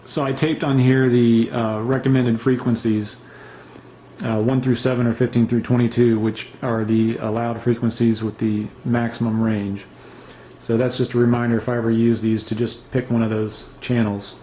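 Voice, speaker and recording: Relaxed low-key man reviewing gear. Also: moderate pace, a little hiss or hum, low-quality recording. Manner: relaxed, unscripted, many fillers and restarts. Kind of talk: casual show-and-tell review.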